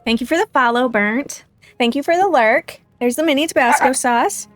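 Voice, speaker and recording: Higher-pitched woman, chatty livestreamer. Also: normal speed, loud music behind the voice. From United States. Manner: chatty, thinking aloud, self-deprecating. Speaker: game livestream host. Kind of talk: casual livestream chat and gameplay commentary.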